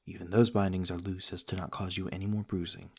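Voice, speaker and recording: Noble vampire lord, played by a male actor, ASMR roleplay. Low voice, little inflection, polite, careful, measured. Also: level tone, husky. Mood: calm, guarded courtesy. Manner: formal, gentle, measured.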